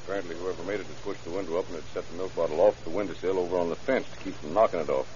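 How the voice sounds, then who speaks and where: Man playing brisk old radio police lieutenant, low voice, fast clipped talk, businesslike, faint hiss. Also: fairly even intonation, darkish tone, close to an everyday voice. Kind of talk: old-time radio drama dialogue. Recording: muffled, hissy old radio recording.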